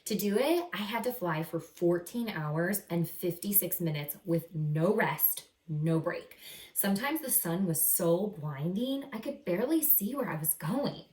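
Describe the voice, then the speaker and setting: A woman reading a picture book aloud to children as a teacher, expressive and encouraging, pausing to explain words simply. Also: fairly low pitch. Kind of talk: animated children's read-aloud with simple asides. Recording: roomy echo, distant microphone.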